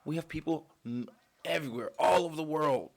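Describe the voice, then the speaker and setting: Sick male vlog host, raspy and gravelly, cussing rant at home. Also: fairly high pitch, fairly quick, very noisy. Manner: profane, heated rant. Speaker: channel host. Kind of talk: casual ranting commentary. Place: man cave at home.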